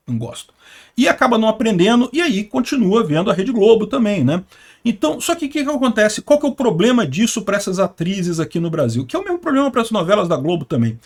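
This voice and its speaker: A man in his mid-fifties with a voice pitched high for a man. He talks like he's chatting with a friend, rambling from point to point with lots of asides and quick check-ins with the listener. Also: breathy edge. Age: 50s. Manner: conversational, rambling, with frequent asides and tag questions. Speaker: political commentary channel host. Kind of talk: opinionated solo commentary to camera.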